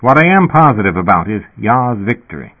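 A man's voice: lowish voice, big pitch swings, fairly quick. Man voicing a dazed, earnest fugitive, tinny sound. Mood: dazed, certain. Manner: earnest, plaintive, matter-of-factly irrational. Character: dazed, deluded male fugitive, earnest and plaintive. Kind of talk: audiobook character voice.

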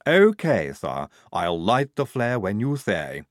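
A man's voice: on the low side, very animated, slow, no echo, clean studio sound. A man reading a story, voicing a stiff, dutiful young woman who speaks formally.

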